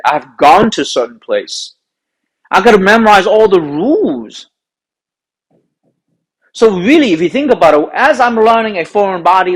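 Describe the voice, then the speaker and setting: A man's voice: sits high, poor audio. Chinese-accented man coaching golf. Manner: earnest, repetitive, emphatic. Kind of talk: instructional talk to camera.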